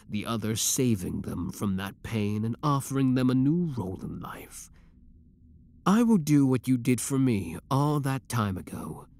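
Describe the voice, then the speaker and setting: A man playing an obsessive, menacing young villain with cold devotion, speaking deliberately and theatrically in a scripted ASMR roleplay. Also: medium pitch, dark tone, dry recording. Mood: calculating, obsessive.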